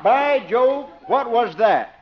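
An actor putting on a silly, pompous, hammy English lord with a mock English accent in a 1950s radio comedy. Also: male voice, extremely high pitch, animated, slow pace, crowd noise, voice pushed up, full character voice. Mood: theatrical alarm. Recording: noisy, dull vintage radio broadcast.

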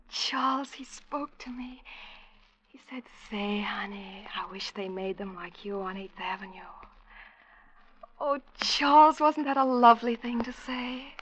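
An actress playing a dreamy, fearful young woman in love in a radio drama, breathy and wistful, often near a whisper, in 1940s radio style. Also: on the high side, fairly even intonation, unhurried. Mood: rapturous. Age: young adult. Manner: wistful, rapturous, hushed. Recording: dull vintage radio recording.

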